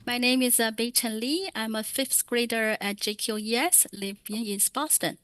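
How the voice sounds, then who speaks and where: Female meeting interpreter, slightly high, dry mic, calm and measured. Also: no room sound. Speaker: Mandarin interpreter. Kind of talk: consecutive interpreting at a public meeting.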